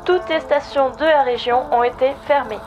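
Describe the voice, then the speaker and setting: A woman's voice: high-pitched, normal speed, music in the background, natural voice. A woman playing a formal news reporter reading a scripted weather bulletin in a French comedy audio drama. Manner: formal, informative.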